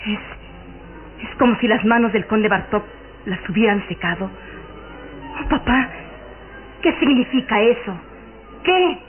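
A woman's voice: a little high, animated, measured pace, pauses often, soft background music. An actress playing an uneasy young noblewoman, an earnest daughter in a radio drama. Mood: alarmed. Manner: earnest, apprehensive. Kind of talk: old radio drama acting. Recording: dull lo-fi old radio recording.

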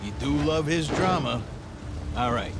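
A man's voice: on the high side, lively intonation, unhurried, loud music behind the voice. An actor playing a casual, obliging male mob crew thug in a video game, talking offhandedly. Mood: businesslike.

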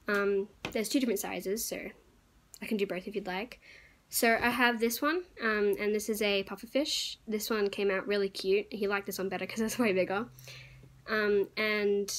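A young woman losing her voice, chatting casually and rambling as she shows off her crafts to viewers. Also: mid-range voice. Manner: chatty, rambling, lots of fillers and little laughs. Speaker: crafting YouTuber. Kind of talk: casual show-and-tell vlog.

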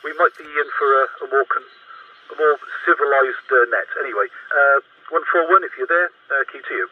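A man's voice: mid-range voice, a little fast. A man chatting casually as a CB operator, heard through a two-way radio. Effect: two-way radio transmission. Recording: tinny, distant CB radio link with faint static.

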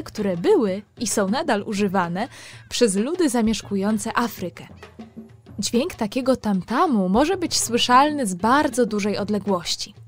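Female children's storyteller, slightly high voice, unhurried, playful, addressing kids directly, faint music underneath. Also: fairly bright tone. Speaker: host and storyteller of a children's programme.

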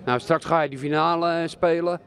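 A man's voice: on the high side, fairly even intonation, a little fast, vocal fry, fairly bright tone. A male reporter asking questions in a chatty, encouraging way inside a bowling centre. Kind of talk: casual interview questions.

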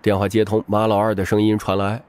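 A man's voice: lowish voice, monotone, fast talker, a little mellow, dry recording. A man narrating an audiobook as a dramatic scripted story.

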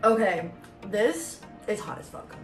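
A woman's voice: mid-range voice, expressive, a little slow. A woman in her twenties chatting casually to camera as a vlog host, with slangy, giggly talk. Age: 20s. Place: home kitchen and dining room. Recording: echoey kitchen with music behind.

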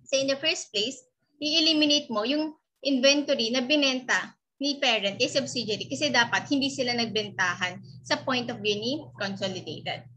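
A woman's voice: a little high, pushed and bright, poor audio. A young adult woman with a Filipino accent, giving an informal, conversational lecture as an instructor and switching between two languages. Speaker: accounting instructor.

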